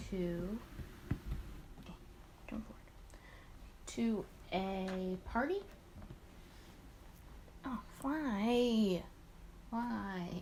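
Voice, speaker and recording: A woman's voice: medium pitch, slight background noise. A woman hosting a casual let's play, rambling and talking to herself in asides as she goes. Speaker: host of a gaming let's play series. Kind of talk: casual let's play, chatting and reading visual novel dialogue aloud.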